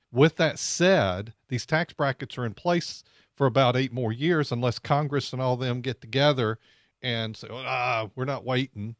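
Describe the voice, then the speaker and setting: A man's voice: mid-range voice, dry recording. Man, radio host, chatty, repeats words, dry studio sound. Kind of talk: live financial talk radio. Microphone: studio microphone.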